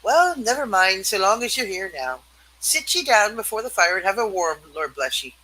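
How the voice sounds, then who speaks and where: A woman's voice: medium pitch, very animated, moderate pace, pushed and bright, poor audio. A woman playing a fussing, fiercely protective working-class mother, theatrical and exclaiming, in a radio play reading. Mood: excited, welcoming.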